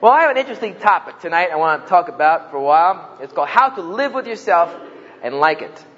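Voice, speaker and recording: A man with a New Jersey accent speaks to a campus audience in a fairly high voice, telling jokes and stories. The sound is dull and carries a long echo from the hall around him. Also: big pitch swings, fast talker, a bit bright. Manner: joking storyteller, acts out quoted voices. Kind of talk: humorous public talk.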